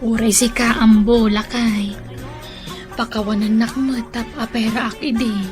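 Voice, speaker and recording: A woman plays a cheery, forgiving village woman in an Ilocano radio drama. She has a fairly high voice and an Ilocano accent, with music playing clearly behind her. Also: level tone, measured pace, natural voice. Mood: cheerful, forgiving.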